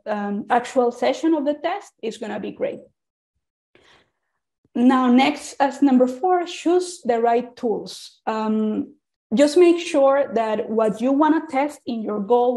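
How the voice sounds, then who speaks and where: Venezuelan-accented woman, warm higher voice. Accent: Venezuelan Spanish. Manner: earnest, with frequent restarts and repeated words. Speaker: speaker on usability testing. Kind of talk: informal presentation.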